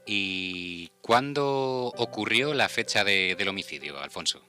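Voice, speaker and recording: A man's voice: fairly low pitch, lively intonation, a little slow, pushed and bright. A man hosting a true-crime radio show. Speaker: radio host. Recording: music bed behind the voice. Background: background music.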